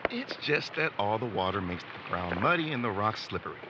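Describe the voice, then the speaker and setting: A man's voice: lowish voice, sing-song, a little fast, highs cut off, noisy background. A man playing an easygoing young guide in a supernatural audio drama, talking casually and explaining things. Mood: explanatory. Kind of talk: audio drama acting, conversational.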